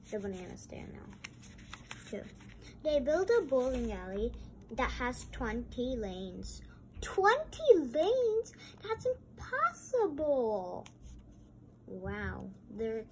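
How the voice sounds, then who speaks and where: A woman reading a picture book aloud and adding chatty, exclamatory comments in a halting way. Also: a little high, sing-song, a little mellow. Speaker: read-aloud host. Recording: noisy amateur home recording.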